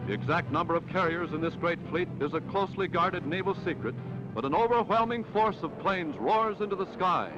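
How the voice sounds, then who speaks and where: A man's voice: high-pitched, expressive, brisk, over music. An American man narrating a 1940s wartime newsreel in a dramatic, emphatic, patriotic manner. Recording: dull, very noisy 1940s newsreel soundtrack.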